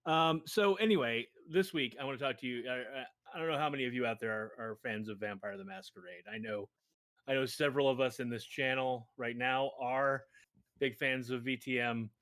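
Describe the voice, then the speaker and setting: A man's voice: mid-range voice, brisk, a bit bright, no room sound. Chatty man reading a sponsor plug, dry sound. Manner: chatty, jokey, enthusiastic. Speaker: show regular reading sponsor announcements. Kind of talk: casual ad-libbed sponsor read.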